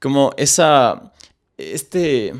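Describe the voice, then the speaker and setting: A man's voice: medium pitch, big pitch swings, extremely slow. Thoughtful young man with a Quito accent. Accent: Quito Ecuadorian Spanish. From Ecuador. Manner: analytical, thinks aloud with many hesitant fillers. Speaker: guest, economist. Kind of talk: conversational interview.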